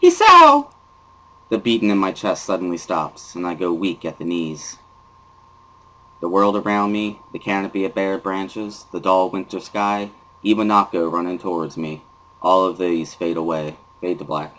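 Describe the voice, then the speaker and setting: A man's voice: deep voice, flat delivery, moderate pace, fairly bright tone, poor audio. A man reading a story aloud as a dramatic first-person narrator. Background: guitar music.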